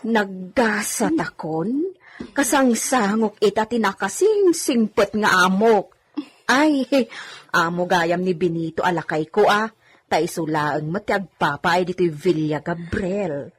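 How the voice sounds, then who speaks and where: A woman's voice: medium pitch, animated, slow, breathy edge. A woman playing a humble, deferential village housemaid who is easily flustered, in an Ilocano radio comedy drama. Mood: flustered, embarrassed. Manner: exclamatory, respectful, flustered.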